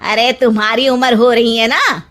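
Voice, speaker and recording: A woman voicing a sharp-witted older wife and grandmother in a family story, scolding in a homely, colloquial way. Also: fairly high pitch, fairly even intonation, normal speed, lightly acted. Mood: teasing. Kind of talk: dramatized Hindi moral story. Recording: music behind the voice. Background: music.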